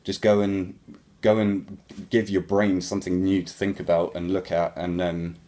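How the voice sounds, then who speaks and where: Young guy with a deep low voice, laid back q&a chat, lots of ums and restarts. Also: normal speed. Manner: relaxed, rambling, many ums and restarts. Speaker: musician answering viewer questions. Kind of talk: casual Q&A chat.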